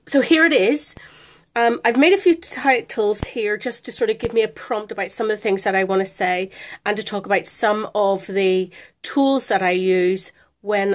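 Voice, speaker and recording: A middle-aged British woman with a chest infection, talking through a casual budgeting tutorial in a chatty, hesitant way, recorded on a camera phone at home. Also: medium pitch. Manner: chatty, hesitant with many ums. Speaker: vlogger.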